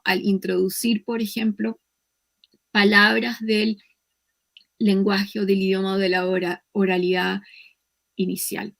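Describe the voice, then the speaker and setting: A woman with a Chilean accent giving an academic conference lecture in a measured, explanatory way, with hesitant pauses. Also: medium pitch, vocal fry.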